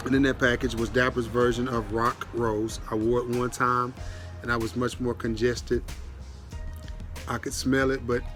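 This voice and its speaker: A man, congested and stuffy-nosed from a sinus infection, casually updating his viewers with lots of ums. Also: mid-range voice. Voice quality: stuffy nasal voice. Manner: relaxed, rambling, with many ums and restarts. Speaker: fragrance reviewer, channel host.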